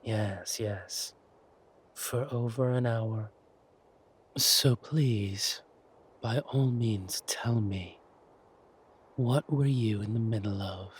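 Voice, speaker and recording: A man acting a menacing, silkily mocking villain king in an audio roleplay, taunting with deliberate words and drawn-out pauses. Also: lowish voice, breathy edge, full character voice. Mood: cold mocking menace.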